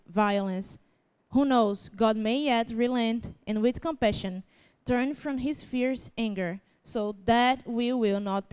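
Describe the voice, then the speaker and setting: A woman's voice: on the high side, a little mellow, clean tone. A young woman from Brazil with a Brazilian Portuguese accent, reading scripture and preaching informally in an earnest, self-deprecating way, with many fillers. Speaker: church speaker.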